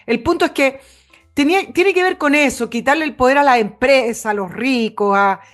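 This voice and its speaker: A Chilean woman co-hosts a political talk show with a fairly high voice. She lays out her opinions earnestly, explaining and repeating phrases to stress them, with faint music in the recording. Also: normal speed. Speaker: co-host and political commentator.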